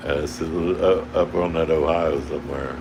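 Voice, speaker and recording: A man's voice: a bass voice, normal speed. A man with an Oklahoma drawl chatting casually with livestream viewers from a towboat, rambling and chuckling often. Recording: steady air conditioner hum behind.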